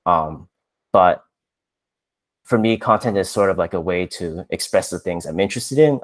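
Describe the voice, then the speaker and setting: A man in his thirties from Canada, chatting casually on a podcast, relaxed and self-deprecating with lots of fillers. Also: low voice. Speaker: podcast co-host, software engineer.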